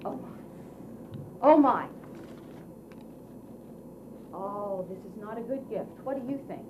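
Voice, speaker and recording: A woman telling a children's story live in an expressive, theatrical and deliberate way, as a puppet show storyteller. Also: medium pitch. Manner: theatrical, deliberate, coaxing audience questions. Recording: dull, faintly noisy live recording.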